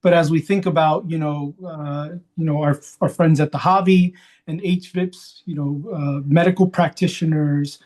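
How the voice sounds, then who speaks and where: A man from Queens, New York, speaking off the cuff as a panelist in a reflective, halting way, punctuated by checks for agreement. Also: on the high side, fairly even intonation, darkish tone. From Queens, New York, USA. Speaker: panelist, community organizer. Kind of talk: unscripted panel talk.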